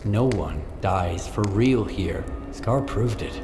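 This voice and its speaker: An actor playing a determined, calm stalker in a video game, terse and earnest in delivery. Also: male voice, fairly low pitch, takes it slowly, over music. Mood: firm. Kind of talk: dramatic video game acting. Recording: noisy game scene ambience.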